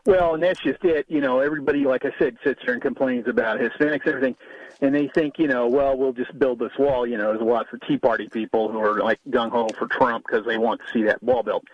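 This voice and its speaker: A man's voice: medium pitch, fairly even intonation, fast talker, no room sound. A man from West Texas in a casual political chat, agreeable and rambling, with many fillers. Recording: dull lo-fi dry sound.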